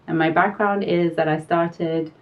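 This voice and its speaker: A middle-aged South African woman with a notably low voice gives measured, explanatory answers and thinks aloud as she goes. She sits well back from the microphone. The recording sounds dull and muffled. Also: fairly even intonation. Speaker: quality consultant guest. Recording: distant mic, dull sound.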